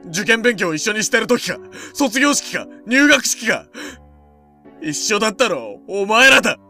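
Man acting as a jealous teenage boy, voice drama, very bright tense tone, lively pitch, cutting. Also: normal speed, faint music behind, heavily acted. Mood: wounded, angry. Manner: cutting, taunting. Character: bitter, jealous high school boy, provocative and wounded.

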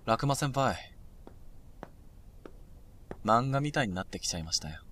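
A young man acting a blunt, provocative high school junior in an audio drama. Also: expressive, normal speed, fairly bright tone. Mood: casual.

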